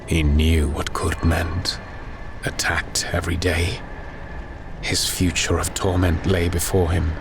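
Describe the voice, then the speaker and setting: Male audiobook narrator, low pitch, flat intonation, solemn, dramatic war horror, rumbling ambient noise behind. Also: slow, gravelly. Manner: grave, solemn.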